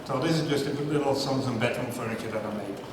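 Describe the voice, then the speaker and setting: A man in his fifties gives a relaxed, self-deprecating talk before a live audience and keeps asking the crowd questions. His voice booms around a large, echoing hall and is picked up from some distance. A clear layer of noise sits under the speech. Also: quick pace. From Netherlands. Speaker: speaker at a science talk night. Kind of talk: casual public talk with audience banter. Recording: echoey hall, mic from afar, noisy.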